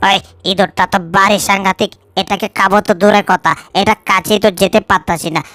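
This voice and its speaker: A woman's voice: medium pitch, steady tone, brisk, a bit bright, no room sound, natural voice. A woman voicing a grumbling, easily flustered animal predator in a cartoon dub. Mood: frustrated.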